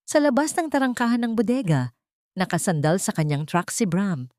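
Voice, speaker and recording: A woman narrating a scripted love story in a measured, sentimental, dramatic storytelling style. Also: medium pitch, very animated, a little mellow, no echo, studio quality.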